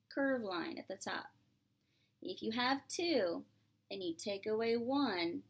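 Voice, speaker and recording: A woman preschool teacher reading aloud to young children, expressive and playful, explaining simply and asking questions as she goes. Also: mid-range voice. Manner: expressive, drawn-out, playful exclamations.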